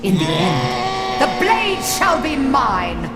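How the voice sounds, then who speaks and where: A woman's voice: mid-range voice, huge pitch swings, slow pace. An actress playing a cruel, scheming witch who sneers and hurls commanding threats. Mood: scornful. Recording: echoey with loud game music.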